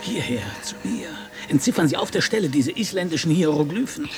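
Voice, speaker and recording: An actor playing an imperious, scornful explorer professor in a radio drama, pompous and commanding. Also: male voice, a little high, animated, fairly quick, soft background music, lightly acted. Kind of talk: German radio drama acting.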